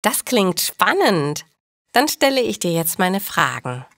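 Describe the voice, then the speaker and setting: A woman's voice: mid-range voice, sing-song, slow, a bit bright, no echo, clean studio sound, full character voice. A woman narrator voicing an eager schoolgirl niece conducting an interview in storybook dialogue for language learners. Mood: excited.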